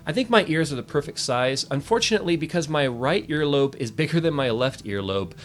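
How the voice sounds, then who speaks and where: A man's voice: fairly high pitch, expressive, fairly quick, slight background noise. A man giving a casual, jokey product review in a chatty way, often interrupting himself. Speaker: tech reviewer.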